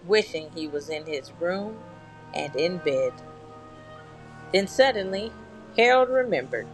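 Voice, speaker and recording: Slow-paced woman reading a bedtime story. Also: on the low side. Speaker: storyteller, channel host. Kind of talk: bedtime picture-book reading, scripted narration.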